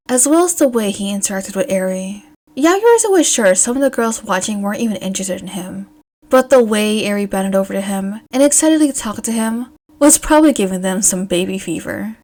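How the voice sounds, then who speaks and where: A woman's voice: medium pitch, a little mellow. A woman reading a scripted story aloud as an audiobook narrator. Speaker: fan fiction audiobook narrator.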